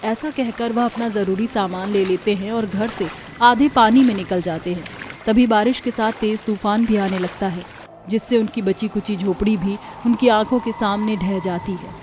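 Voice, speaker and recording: A woman's voice: mid-range voice, steady tone, normal speed, a little mellow, a little hiss or hum. A woman narrating a scripted story as the storyteller.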